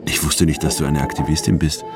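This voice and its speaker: An actor in a German radio drama playing a narrator who questions his companion in a terse, low-key way. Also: flat delivery, quick pace. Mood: probing, low-key. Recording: loud music under the voice.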